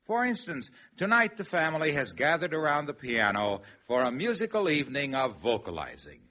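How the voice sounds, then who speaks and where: A man's voice: fairly high pitch, wildly swooping pitch, fairly quick. A man announcing a 1950s radio show in a scripted, old-time style. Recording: vintage radio, loud music behind.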